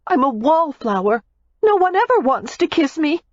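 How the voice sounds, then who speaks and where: A woman's voice: high voice, big pitch swings, normal speed, no room sound, lo-fi sound, voice pushed up. A woman reading an audiobook, voicing a fiery young woman who is indignant and hurt, in a raised, heated, accusing tone. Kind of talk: audiobook character voice.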